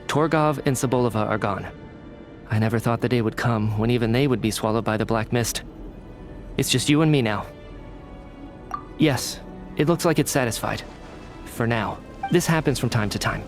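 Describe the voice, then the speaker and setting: An actor playing a calm, detached man who speaks of fate in measured, philosophical tones in a game. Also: mid-range voice, lightly acted. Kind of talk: game voice acting.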